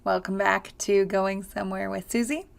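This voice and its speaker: A woman with a hoarse, raspy voice, chatting casually in a travel vlog with frequent ums and uhs. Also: medium pitch, fairly even intonation, normal speed. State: hoarse from screaming. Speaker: travel vlogger.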